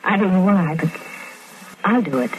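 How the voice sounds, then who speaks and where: An actress in a 1950s radio drama playing a hesitant, tender ex-wife who speaks softly and with a waver. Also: female voice, on the low side, expressive, moderate pace, slight background noise, close to an everyday voice, low-quality recording. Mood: wistful. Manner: soft, wavering, emotional. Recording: old radio broadcast with background music.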